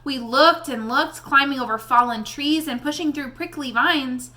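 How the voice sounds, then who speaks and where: A woman reading a children's novel aloud in an expressive storybook style. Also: sits high, normal speed. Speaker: read-aloud reader addressing young listeners.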